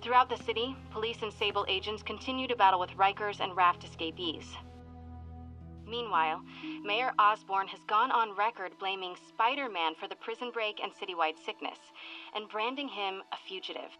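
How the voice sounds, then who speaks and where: A woman's voice: mid-range voice, bright, forceful tone, faint music behind, close to an everyday voice, low-quality recording. A young woman playing a serious TV news reporter in a video game, reading formally and evenly. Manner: measured, formal delivery.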